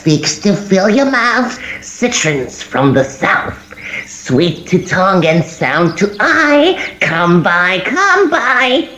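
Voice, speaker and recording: Creepy sly goblin fruit seller, super slow singsong chant, coaxing, lots of echo, verse drama. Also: female voice, medium pitch, very animated, a bit bright, slight background noise. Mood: luring. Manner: drawn-out, coaxing, incantatory. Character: shrill sly goblin fruit merchants, luring and sing-song.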